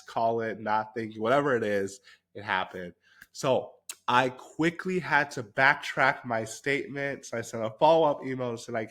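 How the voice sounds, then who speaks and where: A man's voice: medium pitch, fairly bright tone. A man with a stuffy, slightly nasal voice from a head cold, hosting his own podcast and telling a personal story in a candid, conversational way. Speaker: podcast host. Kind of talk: solo podcast monologue.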